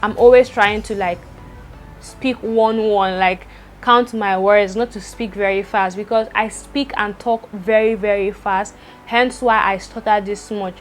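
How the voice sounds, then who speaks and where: A young woman vlogging from home, talking very fast with a stutter and repeating words. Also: mid-range voice, fairly even intonation, soft background music. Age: young adult. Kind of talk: personal vlog talk to camera. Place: indoors at home, rain outside.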